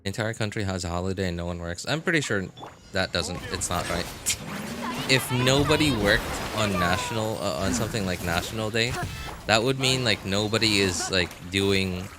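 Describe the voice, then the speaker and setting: Guy streamer with a lowish voice, chatting casually, kinda halting, music playing behind him. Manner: halting, repeats words while thinking aloud. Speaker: live streamer. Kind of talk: casual live game stream chat.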